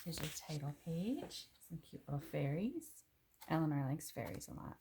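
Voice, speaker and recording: A woman reading a children's picture book aloud in an expressive, clearly phrased way, with casual asides. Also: deep voice, moderate pace, noisy background.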